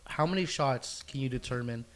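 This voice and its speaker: A man's voice: mid-range voice, a little fast, slight background noise. A man chatting casually as a podcast host, rambling through stories with slang and swearing. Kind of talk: casual chat.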